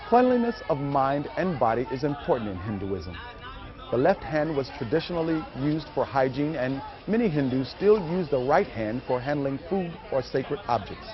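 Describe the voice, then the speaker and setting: A man's voice: medium pitch, very animated, moderate pace, a crowd in the background. A man reading scripted narration for a training film. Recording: muffled audio with music behind. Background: music.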